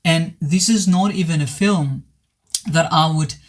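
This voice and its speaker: A man giving a casual film review to camera, his voice worn and hoarse after being ill, talking earnestly with many halting restarts. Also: fairly high pitch, a little hiss or hum. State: voice worn from recent illness. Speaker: movie reviewer.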